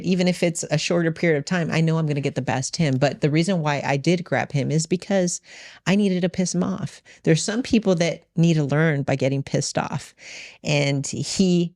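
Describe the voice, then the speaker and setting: A woman's voice: low voice, a little mellow, dry recording, clean studio sound. A woman telling a personal story in conversation, emphatic and often quoting what was said in direct speech. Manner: emphatic, re-enacts dialogue in direct quotes. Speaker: podcast guest and business owner.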